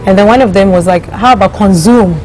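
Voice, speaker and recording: A woman with a mellow, relaxed voice, speaking as a guest in a casual interview. She tells things as anecdotes in an easy conversational style, with faint noise behind her. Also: medium pitch, fairly quick, low-quality recording. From Ghana and Germany. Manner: conversational, anecdotal, quoting others in her telling. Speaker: startup CEO guest.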